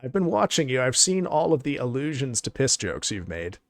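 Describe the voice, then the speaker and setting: A man chatting casually on a live stream, excitable and thanking viewers, his voice getting hoarse. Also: mid-range voice, moderate pace. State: losing his voice.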